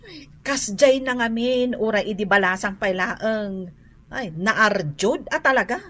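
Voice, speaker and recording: A woman's voice: mid-range voice, unhurried, fairly bright tone. An actress with an Ilocano accent playing a gossipy, scandalized village woman who exclaims and tuts in a comedy radio drama. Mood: gossipy, concerned.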